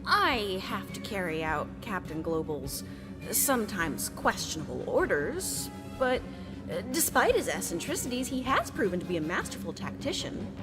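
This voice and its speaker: A woman's voice: mid-range voice, big pitch swings, moderate pace, over music, natural voice. A woman voicing a stern, long-suffering bridge officer in a parody anime dub, clipped and exasperated. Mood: weary. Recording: long echoing reverb.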